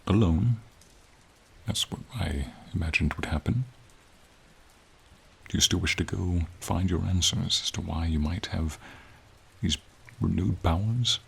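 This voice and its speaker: A very deep, husky fantasy dragon, acted by a man, speaking in an intimate ASMR roleplay. The delivery is very slow and pensive, gentle and wise, with a lonely edge and slightly formal wording. A heavy gravelly rasp and plenty of breath sit in every phrase. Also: exaggerated voice. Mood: gentle concern. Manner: measured, pensive, slightly formal, with frequent pauses. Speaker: voice actor in roleplay audio. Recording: rain or ambient noise behind. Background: light rain, outdoor ambience.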